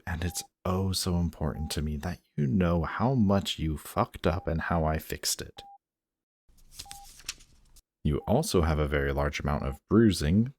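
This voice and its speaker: Deep-voiced, sarcastic villain played by a man. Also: darkish tone. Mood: smug, needling. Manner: snarky, dry, casual teasing. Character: sarcastic, guarded male villain, grudgingly caring. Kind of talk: intimate audio roleplay acting.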